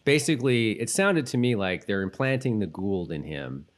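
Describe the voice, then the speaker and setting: A man with a slightly low voice chats as a podcast co-host. He talks casually, rambling and working out his thoughts as he goes. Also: big pitch swings, normal speed. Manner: rambling, thinks aloud in loose tangents. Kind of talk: casual TV rewatch chat.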